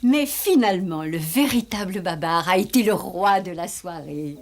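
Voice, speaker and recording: A woman playing a kindly, refined lady who looks after a young elephant, expressive and maternal, in a dubbed cartoon. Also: on the low side, moderate pace. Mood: proud, tender. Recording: music behind the voice.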